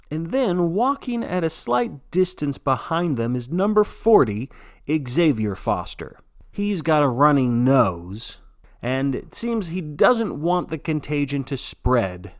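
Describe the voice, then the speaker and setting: An earnest male sports broadcaster, acted out by a man calls a grim contest play by play. His mid-range voice is folksy and theatrical, with suspenseful pauses, recorded close and dry. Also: medium pitch, no echo. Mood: genial, measured. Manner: dramatic, folksy announcer delivery with suspenseful pauses. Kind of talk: scripted play-by-play sports commentary.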